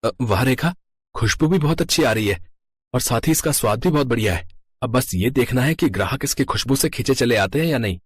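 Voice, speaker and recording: A man playing an earnest, humble, plain-spoken villager in an animated moral story. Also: medium pitch, fairly quick, slightly rough, dry recording. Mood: pleased. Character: earnest, humble village sweet seller or helper.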